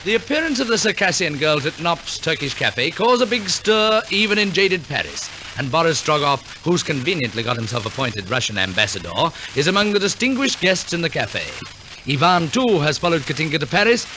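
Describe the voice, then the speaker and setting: A man's voice: a little high, a little hiss or hum, rough recording. A man narrating a scripted story in the formal, theatrical style of a 1940s radio announcer, on a vintage recording. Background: orchestral music.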